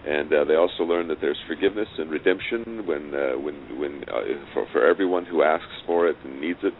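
Very deep-voiced man on a tinny line. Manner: halting, repeats words as he explains. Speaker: interview guest, audio drama writer and producer. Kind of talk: conversational interview, explaining a project. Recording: noisy, tinny phone-like call line.

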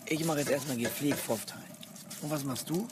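An actor playing a young novice monk in a radio play, a childlike, cheeky buddy from Hamburg. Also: male voice, on the high side, moderate pace, very noisy, close to an everyday voice. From Hamburg, Germany.

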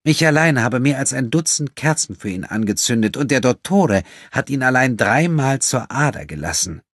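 A man voicing a prim, righteous nun in an audiobook, speaking in a sharp, defensive manner. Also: mid-range voice, expressive, normal speed, dry recording, clean studio sound, voice pushed up. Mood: indignant, defensive. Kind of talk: audiobook character acting.

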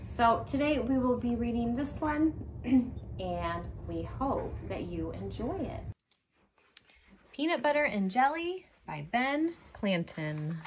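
A woman's voice: fairly high pitch, animated, slow pace, a little hiss or hum. A middle-aged American woman reading a children's book aloud like a teacher. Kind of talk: children's storybook read-aloud.

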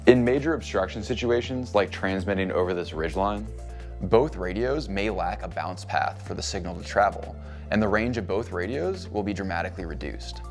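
A man in his thirties giving a measured, scripted explanation indoors in a cabin-style studio. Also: sits low, lively intonation, soft background music. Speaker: co-founder explaining radio range. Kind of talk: scripted educational narration.

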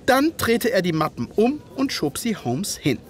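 A man's voice: high voice, sing-song, unhurried. A man playing an earnest, easily indignant Victorian army doctor who narrates a German radio play in formal, old-fashioned phrasing. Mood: measured narrating.

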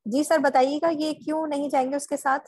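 A woman's voice: sits high, flat delivery, fairly quick, darkish tone. A woman, a student in an online class, deferentially asking questions and confirming what she understood. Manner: deferential, addresses teacher as sir.

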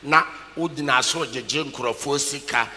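A man's voice: medium pitch, moderate pace, bright, forceful tone. An older man with a Ghanaian accent preaching a sermon emphatically, repeating key phrases and calling for responses. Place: large packed church auditorium, on stage. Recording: echoey large hall PA, background noise.

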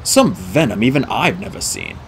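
A man acts out a middle-aged centaur mentor in a storybook. He is dignified and kindly, choosing his words formally and deliberately. His delivery rises and falls very widely, with faint noise behind it. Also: medium pitch, huge pitch swings, gravelly. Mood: grave. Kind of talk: audiobook character dialogue.

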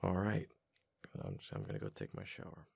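Male actor as weary affectionate husband, deep voice, thick vocal fry, close dry sound, halting casual delivery, mildly exasperated, ASMR roleplay. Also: big pitch swings, no echo, full character voice. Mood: gently exasperated. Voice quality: deep, gravelly. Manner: casual, halting, sighing, intimate. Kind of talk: boyfriend ASMR audio roleplay.

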